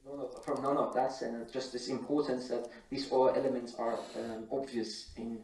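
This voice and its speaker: Male academic panelist, medium pitch, halting non-native English, roomy echoing sound. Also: flat delivery, darkish tone. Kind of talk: informal explanation of law to an audience. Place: indoor conference room. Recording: echoey room, slightly distant mic.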